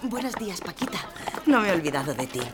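A woman's voice: lowish voice, very animated, fast, noisy background. An actress playing a well-off, middle-aged charitable lady in an audio drama, genteel and faltering. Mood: kindly. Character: well-off, hesitant charitable lady, mother of six.

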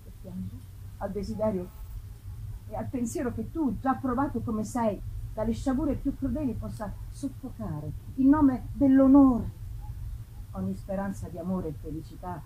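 Casting: female reader as earnest young woman in love, pleading through a letter, high soft-toned voice, background noise. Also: animated, moderate pace, natural voice, rough recording. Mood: sorrowful. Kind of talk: gothic novel dramatic reading. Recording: clear background ambience noise.

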